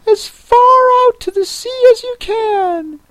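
A woman voicing an excitable yet melancholy male turtle creature from a storybook, in a squealing singsong delivery. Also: very high-pitched, takes it slowly, dry recording, pitched above the performer's own voice, exaggerated voice, rough recording. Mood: excited, eager.

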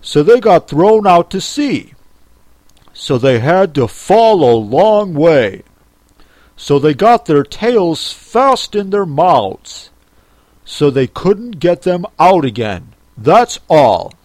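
A reader voicing a brusque, impatient and solemn beast in a storybook, speaking forcefully. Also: medium pitch, big pitch swings, slow pace, no room sound, pitched above the performer's own voice. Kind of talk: storybook character reading.

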